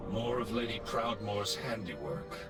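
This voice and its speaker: An actor playing a stoic undead death knight in a game, with a cold, measured, commanding manner. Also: male voice, lowish voice, lively intonation. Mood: wary, measured. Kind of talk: dramatic game dialogue. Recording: distant voice under loud music.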